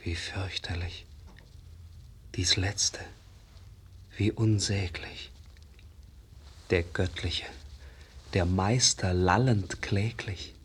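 Hushed, husky, mournful disciple. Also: male voice, low-pitched, steady tone, drawn-out words, pauses often, full character voice. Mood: horrified grief. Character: anguished, awestruck male disciple of a dying master. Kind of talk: 1950s German radio verse drama, declaimed.

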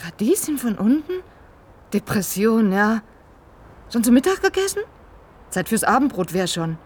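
An actor playing a brisk, kind-hearted, streetwise woman who runs a snack stand, chatty and plain-spoken, in radio drama style. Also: fairly high pitch, sing-song, breathy edge. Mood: caring, chatty. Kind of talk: German radio crime drama acting.

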